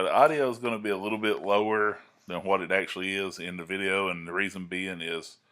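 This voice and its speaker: A man's voice: on the low side, sharp tone, smooth. A man giving an informal hobbyist tutorial in a folksy, explanatory way, often checking in with the listener. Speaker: amateur radio hobbyist presenting a tutorial. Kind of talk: informal screen-recorded tutorial.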